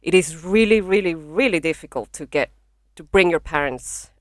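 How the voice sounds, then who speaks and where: A woman's voice: lowish voice, moderate pace, dry recording. A young woman from Venezuela with a Venezuelan accent, a comedian talking frankly and swearing freely as a podcast guest in a bedroom studio. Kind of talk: casual podcast chat. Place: small home studio in a bedroom. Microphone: podcast microphone.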